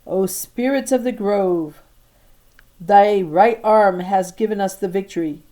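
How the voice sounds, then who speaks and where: A woman's voice: mid-range voice, takes it slowly, dark tone, natural voice. A woman reader voicing a young girl who plays a brave queen, speaking in grand, theatrical, old-fashioned phrases for a storybook. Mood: solemn. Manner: theatrical, grand archaic speech. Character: young girl playing a brave, regal make-believe queen.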